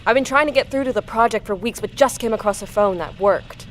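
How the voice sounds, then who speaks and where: A woman's voice: fairly high pitch, fast talker, faint music behind, lightly acted. An actress playing a weary, shaken woman survivor in an apocalyptic audio drama, with a blunt, wry way of talking.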